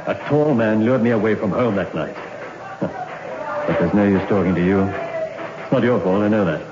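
An actor playing a framed condemned man, resigned and bitter, talking in a quiet, weary and insistent way, in a 1960s radio drama. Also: on the low side, normal speed, crowd noise, close to an everyday voice. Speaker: prisoner awaiting hanging. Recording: roomy, dull old radio recording with hiss.

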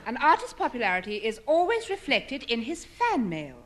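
Tart deflating secretary, high lilting voice, hissy old 50s radio comedy. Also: female voice, wildly swooping pitch, moderate pace, fairly bright tone. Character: dry, needling, unimpressed female secretary. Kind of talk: 1950s radio sitcom acting. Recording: noisy 1950s radio archive recording.

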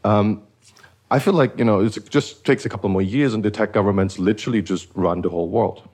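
Podcast host, man raised in Eastern Germany, low-pitched voice, musing and rambling delivery. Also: a little fast, a little mellow, breathy edge. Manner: thoughtful, rambling with many restarts. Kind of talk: casual conversational podcast.

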